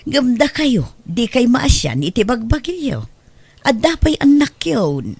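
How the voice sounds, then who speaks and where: A woman's voice: on the high side, big pitch swings, slow, darkish tone, slightly rough, no room sound, heavily acted. A meddling Ilocano aunt played by an actress in a radio drama. Her intonation swings widely, close and dry, as she pries into family business. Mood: reproachful.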